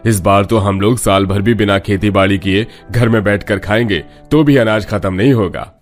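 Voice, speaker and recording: A man's voice: on the low side, normal speed, soft background music, lightly acted. A man voicing a sober older village headman in a cartoon story, plain-spoken and colloquial. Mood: pleased.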